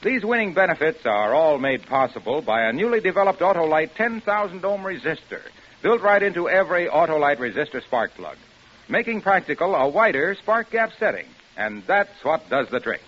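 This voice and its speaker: A man's voice: fairly high pitch, sing-song, normal speed. A man reading a scripted old-time radio commercial as an announcer, in an emphatic, salesy manner. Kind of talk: scripted 1950s radio advertising pitch. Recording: dull vintage radio recording.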